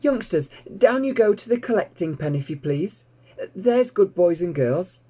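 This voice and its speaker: A man's voice: high voice, animated, unhurried, dark tone, slightly rough, pitched above the performer's own voice, heavily acted. A man voicing an eager, polite young male piglet with a childlike, earnest manner in a storybook reading. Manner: courteous, earnest, pleading.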